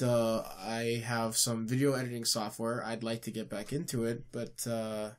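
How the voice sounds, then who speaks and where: A man with a stuffed-up nose, co-hosting a casual gaming podcast and rambling with many fillers and restarts. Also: mid-range voice. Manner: rambling, filler-heavy, self-correcting. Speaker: podcast co-host. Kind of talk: casual chat.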